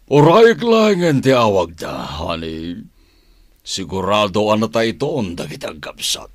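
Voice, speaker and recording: Villain gang boss, deep male voice, Ilocano accent, self-assured, dry studio sound, radio drama. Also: big pitch swings, unhurried, no room sound. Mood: confident.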